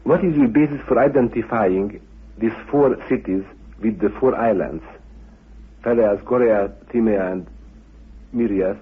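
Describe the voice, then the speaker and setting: A man's voice: on the low side, a little slow, pauses often, slightly rough. A man putting questions as an interviewer, on a muffled, thin, distant-sounding old radio recording.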